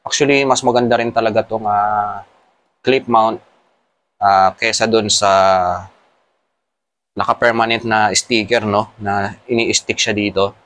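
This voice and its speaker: Male Filipino motovlogger, slightly deep voice, casual explanatory delivery for a how-to guide. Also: steady tone, a little slow, long pauses, fairly bright tone. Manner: explanatory, mixing Tagalog with English terms. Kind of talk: casual hands-on tutorial.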